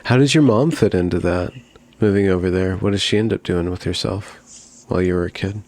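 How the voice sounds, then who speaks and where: A man's voice: low voice, a little fast, darkish tone. A man hosting an interview, asking brief, attentive questions. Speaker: interview host.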